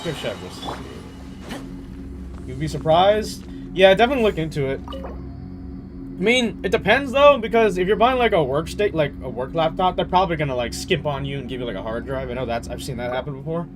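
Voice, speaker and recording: Slightly high-pitched young man streaming games. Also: lively intonation, brisk. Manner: informal, rambling, teasing. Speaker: game livestreamer. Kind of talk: casual chat while gaming, answering viewers. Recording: game music behind the voice. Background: video game music.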